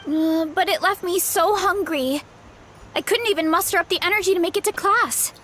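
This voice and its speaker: A woman's voice: very high voice, moderate pace, pushed and bright, a little hiss or hum. A woman voicing a sweet, modest, self-doubting young trainee in a video game, earnest and polite. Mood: bashful.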